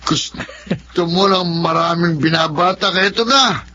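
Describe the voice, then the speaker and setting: An excitable young Filipino-accented sidekick, fawning over his pal in a radio drama. His voice is high and forceful, on a dull recording. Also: normal speed, a little hiss or hum. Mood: admiring, playful. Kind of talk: Tagalog radio drama acting.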